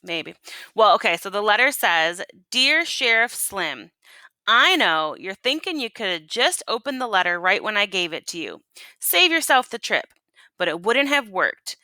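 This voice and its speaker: A woman teacher reading a storybook aloud to children and pausing to ask them questions. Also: mid-range voice, big pitch swings, sharp tone, dry recording.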